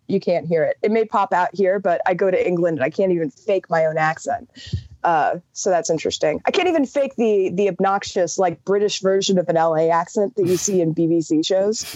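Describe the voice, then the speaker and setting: A woman's voice: on the low side, darkish tone, no room sound, highs cut off. A woman from Wisconsin with an American accent, a panelist on a podcast call, talking earnestly with many fillers and restarts. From Wisconsin, US upper Midwest. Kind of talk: casual roundtable discussion. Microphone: call audio.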